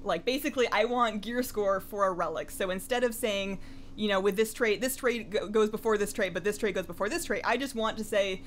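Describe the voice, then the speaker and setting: A woman's voice: mid-range voice, a little hiss or hum. A woman chatting casually as a gaming vlogger, in a rambling, conversational and self-deprecating way. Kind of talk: casual chatty vlog about an online game.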